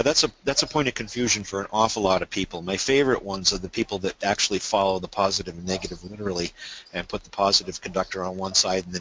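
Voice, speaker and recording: Low, bright-voiced male webinar presenter. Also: slight background noise, low-quality recording. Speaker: webinar presenter, technical expert. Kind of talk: casual technical explanation with questions.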